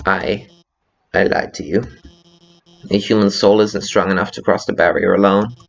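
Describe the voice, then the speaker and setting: A man's voice: low voice, steady tone, creaky. A man voicing a nervous, guilt-ridden scientist with a heavy stutter in a fan dub of a video game. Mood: guilty, resigned. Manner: heavy stutter, halting, anxious. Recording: slightly dull lo-fi audio.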